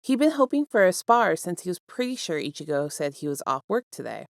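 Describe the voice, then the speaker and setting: Woman, low voice, gravelly creak, smooth tone, measured story narration, close dry sound. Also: big pitch swings, no room sound. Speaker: fan fiction reader. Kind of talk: expressive scripted story narration.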